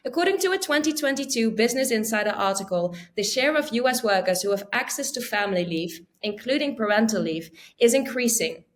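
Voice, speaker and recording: A woman hosting a debate podcast, clear and organized, reading out prepared background before each statement. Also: on the high side. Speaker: podcast host.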